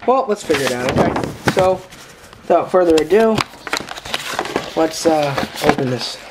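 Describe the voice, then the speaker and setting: Higher-pitched man with noisy camera sound. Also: lively intonation, moderate pace. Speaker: video host. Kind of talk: casual unboxing vlog. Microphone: handheld camera's built-in microphone.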